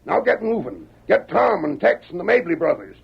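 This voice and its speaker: Sheriff, radio Western: commanding, stubborn, folksy, very husky and animated, on a dull old recording. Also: male voice, high-pitched, moderate pace, heavily acted. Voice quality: gravelly, husky. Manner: folksy, blunt Western drawl.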